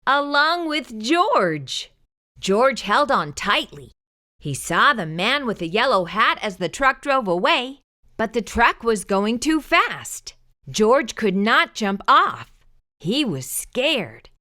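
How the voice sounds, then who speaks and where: A woman reads a picture book aloud to children, slow and sing-song. Her voice is bright and swoops all over. She draws each sentence out for young listeners. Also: medium pitch. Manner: expressive, sing-song storytelling to kids. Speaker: children's storytime host.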